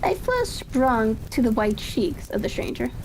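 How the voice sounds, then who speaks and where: A woman's voice: fairly high pitch, big pitch swings, unhurried, darkish tone. A woman reads a classic story in a tranquil ASMR style, now and then stumbling over words. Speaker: audiobook reader. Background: ambient fireplace crackle.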